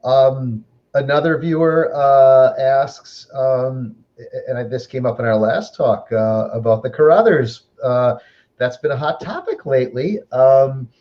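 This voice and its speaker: A man's voice: mid-range voice, unhurried. A man hosting a live online interview show, chatting easily and reading out viewer questions with plenty of ums. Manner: easygoing, chatty, lots of um fillers. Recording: muffled, slightly lo-fi audio.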